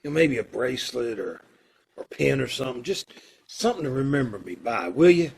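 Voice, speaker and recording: A man acting a kindly, earnest condemned prisoner in an old-time radio play, with hesitant, folksy phrasing and a gravelly, husky voice. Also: medium pitch, animated, slight background noise, poor audio. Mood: tender, subdued. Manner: hesitant, halting, folksy and informal.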